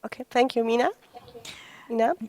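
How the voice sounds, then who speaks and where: A woman moderating a panel discussion, speaking in a measured, halting way. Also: a little high, steady tone, a little mellow, dry recording.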